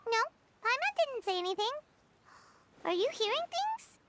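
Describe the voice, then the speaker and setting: A woman voicing a small, excitable, childlike fairy companion in a video game, squeaky and full of exclamations. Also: normal speed, noisy background. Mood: startled, puzzled. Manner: exclaims, speaks of self in third person. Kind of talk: video game cutscene voice acting.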